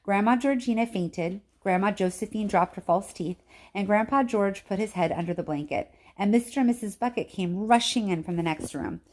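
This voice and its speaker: A woman teacher reading a children's story aloud in an expressive narrating style. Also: mid-range voice, normal speed.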